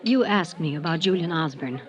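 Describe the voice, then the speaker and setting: Hesitant, uneasy schoolteacher, dull vintage radio. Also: female voice, sits low, expressive. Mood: grave, earnest. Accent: American. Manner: hesitant, halting, earnest. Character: uneasy, earnest small-town schoolteacher woman, decent and conscience-stricken. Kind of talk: 1950s radio drama acting.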